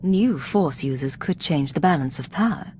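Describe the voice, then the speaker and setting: A woman playing a cool, probing secret agent in a video game, composed, dry and measured. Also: low voice, animated, a little mellow, a little hiss or hum. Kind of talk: cinematic video game dialogue.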